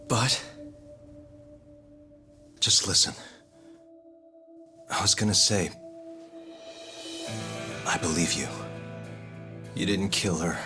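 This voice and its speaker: Low-pitched male detective type, game drama, needling yet earnest, clear music bed. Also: pushed and bright, exaggerated voice. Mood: earnest, remorseful. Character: dogged male investigator, calm, cocky and accusing. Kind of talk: dramatic video game cutscene acting. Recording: music behind the voice.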